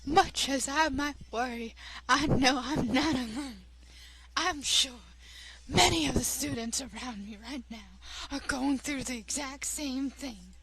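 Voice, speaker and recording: High-voiced woman, slow halting read-aloud of game text, some whispered lines. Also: a bit bright, rough recording. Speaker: co-player reading aloud. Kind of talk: reading a visual novel aloud.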